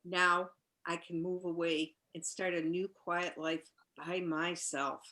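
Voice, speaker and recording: A woman playing a polite, composed widow in a noir radio play, speaking in a measured, matter-of-fact way. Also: fairly low pitch, flat delivery, bright, forceful tone. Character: newly widowed shopkeeper's wife, polite and composed. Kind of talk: 1940s noir radio acting, live community theatre.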